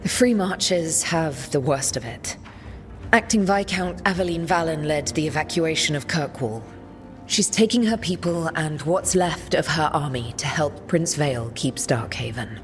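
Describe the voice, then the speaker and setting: An actress playing a weary, resolute woman leader in a video game, speaking in a measured, grave, commanding way. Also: low-pitched, animated, big-room sound, soft background music. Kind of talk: video game cutscene acting.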